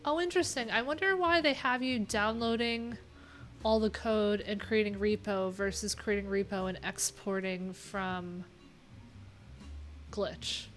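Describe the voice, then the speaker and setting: A woman's voice: mid-range voice, a bit bright. American woman chatting on a stream, kinda rambly and wry, bit of music in the back. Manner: rambling, wry, informal with swearing. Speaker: livestream host. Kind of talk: casual livestream chat while reading docs. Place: small cluttered room indoors. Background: background music.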